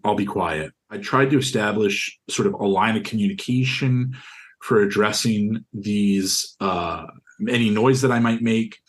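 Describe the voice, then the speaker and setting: The deep, carrying voice of a young man you would hear in a documentary interview, earnestly and haltingly going back over what happened to him. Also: fairly bright tone. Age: young adult. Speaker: interviewee, university student. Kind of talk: recounting a personal experience in an interview.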